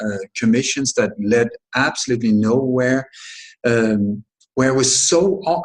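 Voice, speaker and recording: A middle-aged man speaks at a medium pitch as an interview guest. He talks at length and stops often to restart and correct himself. He lands his points with emphasis. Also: poor audio. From Sweden. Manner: halting, frequent restarts and self-corrections, emphatic. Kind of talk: long conversational answer.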